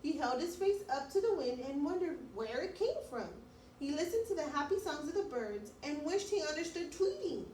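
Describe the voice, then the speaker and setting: A woman's voice: high voice, big pitch swings, moderate pace, a little mellow. A woman speaking as a preschool teacher, reading and chatting simply for young children. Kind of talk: children's read-aloud and lesson chat. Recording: roomy, distant mic, faint noise.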